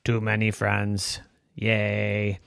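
A storyteller voicing a sociable, literal-minded robot in a stilted robotic monotone. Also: low voice, very slow, gravelly, no echo, studio quality, pitched below the performer's own voice, heavily acted. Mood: flat cheer. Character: sociable robot friend, sad and stubbornly literal.

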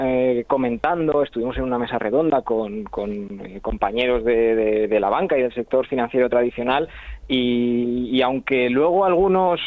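A man's voice: medium pitch, no echo. Hesitant man on a phone-like line. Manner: hesitant, repeats words. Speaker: company guest. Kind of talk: radio interview. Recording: phone-like narrow sound.

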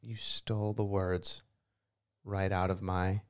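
Man with a very low, hoarse voice. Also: little pitch movement, slow. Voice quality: deeper than usual, hoarse. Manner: joking, playful banter. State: throat dry and raspy from dust. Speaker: podcast co-host. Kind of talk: casual chat.

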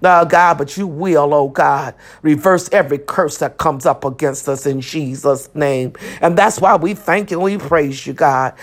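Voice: medium pitch, normal speed, few pauses. Fervent prayer leader with a mid-range voice. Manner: repetitive, exclamatory, punctuated with praise interjections.

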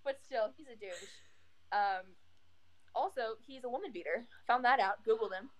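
A woman's voice: a little high, moderate pace. A young woman co-hosting a casual podcast, ranting bluntly with frequent restarts. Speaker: podcast co-host. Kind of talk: casual chat.

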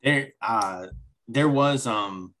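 A man's voice: mid-range voice, very slow, fairly bright tone. A man co-hosting a podcast in casual chat, rambling through his points and slipping in dry jokes. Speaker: podcast co-host.

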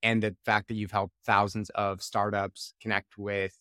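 A man's voice: on the low side, level tone, moderate pace, bright, forceful tone, clean tone, dry recording, studio quality. A man in his thirties hosting a podcast, talking in an upbeat, fluent way as he introduces and questions his guest. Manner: upbeat, fluent, fond of intensifiers. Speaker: podcast host.

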